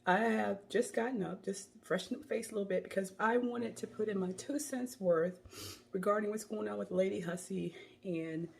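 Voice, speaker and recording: A woman with a cold and a hoarse voice, giving chatty, rambling opinion commentary into her phone. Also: medium pitch, normal speed, a little mellow, a little hiss or hum. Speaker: commentary vlogger.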